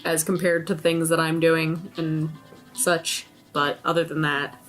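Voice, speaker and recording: A woman's voice: deep voice, little pitch movement, unhurried, soft background music, rough recording. A woman talking casually as a player in a tabletop game.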